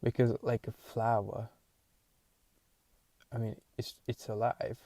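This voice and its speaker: A young man with a Hungarian accent, a podcast host thinking aloud in a halting, searching way with many restarts. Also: mid-range voice, little pitch movement, gravelly, dark tone, dry recording. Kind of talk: solo musing monologue.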